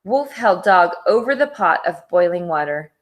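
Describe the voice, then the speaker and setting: A woman teacher reading a children's storybook aloud with expressive narration. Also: fairly low pitch, normal speed.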